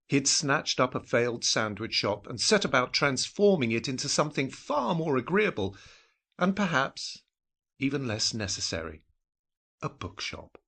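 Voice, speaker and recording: A man narrating a scripted literary story in a measured, wry storytelling manner. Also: mid-range voice, very animated, gravelly, pushed and bright, slightly rough. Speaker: narrator of an audio drama.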